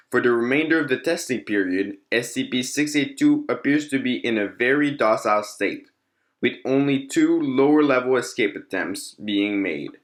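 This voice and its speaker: Male narrator, mid-range pitch, formal and measured, for scripted documentary-style fictional file readings. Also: a bit bright.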